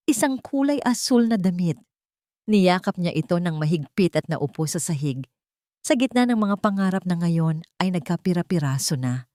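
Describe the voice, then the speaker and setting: Low-voiced woman, dramatic storyteller. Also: darkish tone, dry recording, clean studio sound. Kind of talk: dramatic scripted narration.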